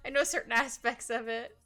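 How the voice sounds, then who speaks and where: Bubbly, high-voiced young-sounding woman streamer. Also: pushed and bright. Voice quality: slightly stuffed-up nose. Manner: bubbly, giggly, rambling with trailing asides. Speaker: art livestream host. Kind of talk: casual livestream chat while drawing. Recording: music behind the voice. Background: background music.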